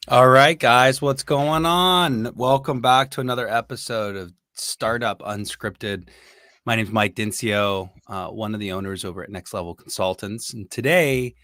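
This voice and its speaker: Man, hoarse podcast host, bright tense tone, dry close sound, laid-back joking chat. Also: medium pitch, unhurried, no echo. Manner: laid-back, joking, says dude a lot. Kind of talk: casual interview chat.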